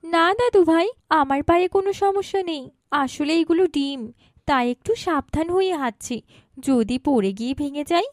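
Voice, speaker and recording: A woman's voice: high-pitched, moderate pace, darkish tone, no echo, studio quality, close to an everyday voice. A woman voicing a polite, earnest village woman in a moral cartoon, with a Bangladeshi accent. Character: village mother and her polite, earnest grown daughter. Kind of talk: cartoon moral story dialogue.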